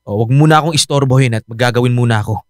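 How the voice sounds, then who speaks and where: Fast, exasperated Filipino husband played by an actor. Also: male voice, mid-range voice, no echo, studio quality, close to an everyday voice. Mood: distracted, curt. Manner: curt, exasperated. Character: busy, impatient, irritable husband worn down by his wife. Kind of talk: Filipino radio drama acting.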